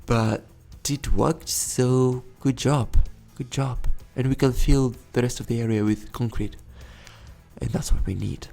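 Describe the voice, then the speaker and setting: Gravelly man rambling over a city build. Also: medium pitch, steady tone, unhurried, a little mellow, soft background music. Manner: rambling, self-correcting, chuckles often. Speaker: let's play host. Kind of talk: casual gameplay commentary.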